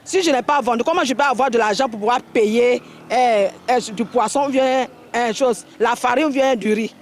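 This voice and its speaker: A woman's voice: on the high side, normal speed, slight background noise. A woman market vendor giving plaintive, spontaneous testimony full of rhetorical questions. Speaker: market vendor interviewed for news.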